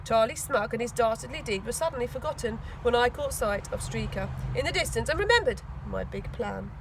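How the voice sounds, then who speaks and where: A woman's voice: a little high, lively intonation, brisk, gravelly, noisy background. A woman reading a children's storybook aloud expressively, with dramatic emphasis. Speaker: school teaching assistant reading a children's book.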